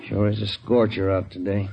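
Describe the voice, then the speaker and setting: An actor playing a weary police detective partner in a 1950s radio drama, dry, slangy and resigned. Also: male voice, lowish voice, breathy edge. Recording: muffled, faintly noisy old radio recording.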